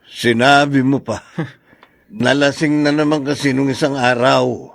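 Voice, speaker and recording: A man's voice: mid-range voice, fairly even intonation, measured pace, creaky, dry recording, studio quality. A man playing an exasperated husband in a Filipino radio drama, speaking with a Filipino accent. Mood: amused, griping. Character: exasperated husband dodging his visiting in-laws.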